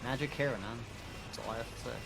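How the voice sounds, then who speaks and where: A man's voice: medium pitch, steady tone, fast talker, vocal fry, rough recording. A man co-commentating on a game stream in casual chat. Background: game music.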